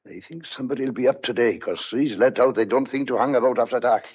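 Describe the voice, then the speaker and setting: Stubborn, loyal old countryman for radio thriller, male actor, dialect, mid-pitched, on a dulled lo-fi recording. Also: fast talker, breathy edge, natural voice. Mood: grave, confiding. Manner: folksy dialect, colourful country sayings. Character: shrewd, stubborn old rural farmhand, loyal and secretive. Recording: dull, lo-fi radio drama sound.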